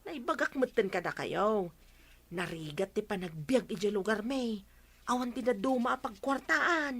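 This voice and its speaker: A woman's voice: on the high side, animated, moderate pace, a bit bright, breathy edge, full character voice. A woman acting a plaintive, complaining housemaid who defers to her master in a comedy radio drama. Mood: aggrieved, grumbling.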